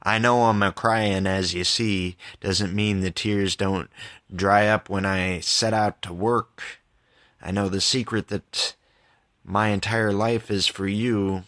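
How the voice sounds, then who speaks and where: A man with a fairly low but bright, forward voice hosts a poetry podcast. He takes his time, with a very unhurried pace, and comes across as informal and candid, somewhere between reading verse and chatting about it. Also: fairly even intonation. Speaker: podcast host and poet. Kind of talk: reading own poems with casual commentary.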